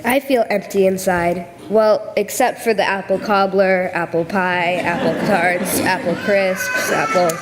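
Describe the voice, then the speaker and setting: A woman's voice: on the low side, fairly even intonation, measured pace, few pauses, natural voice. A woman playing an earnest, food-loving village child in an amateur readers theater. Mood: forlorn, comic. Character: earnest village child who loves apple treats. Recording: reverberant hall, faint background noise.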